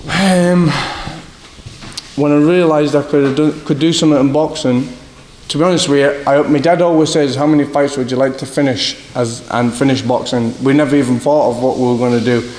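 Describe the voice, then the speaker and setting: A man's voice: medium pitch, steady tone, brisk, a little mellow. A young man from Scotland with a northeast English accent, a boxer telling rambling stories in an interview, often repeating "do you know what I mean". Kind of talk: casual interview answers. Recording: echoey hall with faint background noise.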